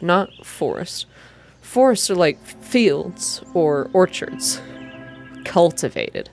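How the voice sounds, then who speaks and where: A woman narrating first-person fiction aloud in a soft ASMR reading style, with deliberate, expressive storytelling. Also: lowish voice.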